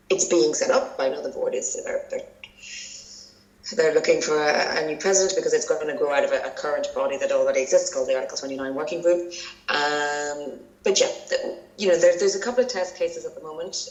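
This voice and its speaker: Irish female interview guest, low pitch, conversational explainer, roomy echoing recording. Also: a little mellow, highs cut off. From Ireland. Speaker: interviewed journalist, podcast guest. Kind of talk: informal expert interview.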